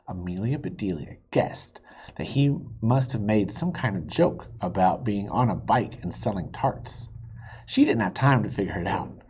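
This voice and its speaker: Man, children's storybook read-aloud, expressive, husky voice, swooping intonation, drawn-out emphasis. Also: on the low side, highs cut off. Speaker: reader of a children's book.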